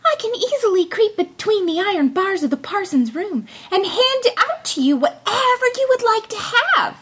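A tiny, bold little boy with a squeaky, shrill voice that leaps far above ordinary speech, as a woman reading a storybook puts him on. He chirps and calls out with lively, swooping cheek. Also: normal speed, full character voice. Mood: cheeky.

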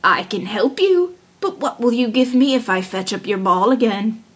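A woman voicing an insistent, plaintive male frog in a fairy tale, speaking slowly and drawing out the words. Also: fairly high pitch, animated, slightly rough. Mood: slow, coaxing. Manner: slow, drawn-out, deliberate delivery. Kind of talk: fairy tale storybook character voice.

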